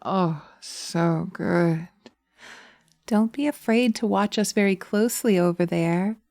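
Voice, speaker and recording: Woman acting as a seductive witch, lowish creaky voice, dominant and taunting, intimate ASMR hypnosis delivery, dry close binaural sound. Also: dry recording. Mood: sultry, hypnotic. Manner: sultry, slow, hushed, often dropping to a whisper. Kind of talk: slow hypnosis roleplay, ASMR. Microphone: close binaural microphone.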